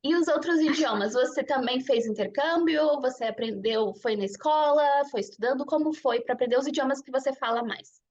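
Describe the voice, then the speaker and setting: Brazilian woman teacher-host, high voice, friendly questions, muffled lo-fi audio. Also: a little fast, few pauses, darkish tone. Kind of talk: casual interview.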